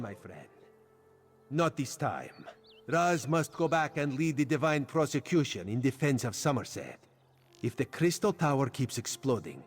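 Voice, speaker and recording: A man's voice: medium pitch, lightly acted. An actor playing a wry, loyal cat-man agent in a video game, theatrical, speaking of himself in the third person. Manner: theatrical, refers to himself by name. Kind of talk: video game character dialogue. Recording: background music under the voice.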